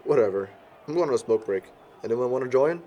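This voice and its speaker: A young man playing a gruff, sarcastic guy in an audio drama. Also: medium pitch, lively intonation, fast talker, dark tone, slight background noise, natural voice. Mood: sullen, sarcastic.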